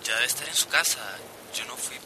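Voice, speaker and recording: A man's voice: mid-range voice, fairly quick, sharp tone, slight background noise, low-quality recording. An actor playing a reluctant, hesitant teenage boy, a man's voice, in a radio drama. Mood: hesitant, evasive.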